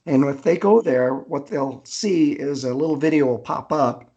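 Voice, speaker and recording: A man's voice: a little high, moderate pace, mellow, dull audio, soft background music. An older man chatting casually as an author guest on a podcast, hesitant and rambling as he thinks aloud. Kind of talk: casual unscripted interview chat.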